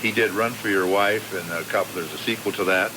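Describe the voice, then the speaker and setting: A man's voice: fairly low pitch, steady tone, fairly quick. An older man hosting a live radio show, introducing programs and chatting with guests. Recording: very noisy live radio broadcast.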